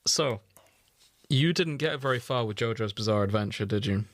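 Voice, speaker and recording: A man's voice: mid-range voice, normal speed, no room sound. Male podcast co-host, droll and understated, casual conversation, close dry recording. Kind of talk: casual chat.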